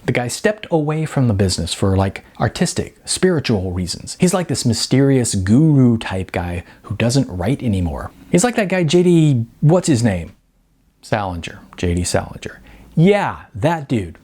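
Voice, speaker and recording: Audiobook role: brash young male dreamer, acted by a male narrator, excitable, slangy, insistent, very animated. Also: mid-range voice, normal speed, slightly rough. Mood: earnest, defensive. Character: excitable, cocky young male slacker dreamer, record store clerk.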